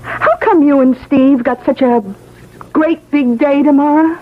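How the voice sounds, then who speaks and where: An actress playing a plain-spoken, good-hearted young housewife in an old-time radio mystery, flustered and hesitant. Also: female voice, high voice, measured pace. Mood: suspicious. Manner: hesitant, stammering, exclamatory. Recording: narrowband, hissy old radio broadcast.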